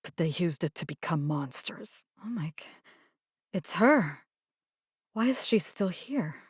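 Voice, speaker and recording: A woman's voice: on the low side, lively intonation, measured pace, breathy edge, no room sound, studio quality, exaggerated voice. An actress playing a plucky, wry young woman rescue fighter in a video game, speaking in a casual, sardonic way. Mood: somber.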